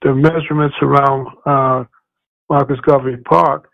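Halting man on tinny radio. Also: mid-range voice, flat delivery, vocal fry. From United States. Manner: halting, many fillers and restarts. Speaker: radio host. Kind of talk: casual radio interview. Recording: tinny phone-like radio audio.

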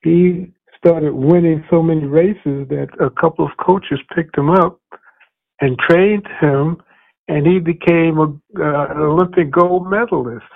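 Radio host guy, lots of ums and restarts, gravelly voice, tinny phone-like sound. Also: on the high side, steady tone. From United States. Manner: halting, many fillers and restarts. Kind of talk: casual radio interview.

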